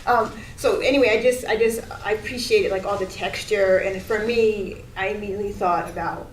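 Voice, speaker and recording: A woman's voice: medium pitch, steady tone, fairly quick. A woman from the United States, a professor, talking casually on a panel and searching for her words. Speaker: cultural historian and professor on a panel. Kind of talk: informal panel discussion. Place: gallery room, picked up from a distance. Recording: echoey room, distant mic, background noise.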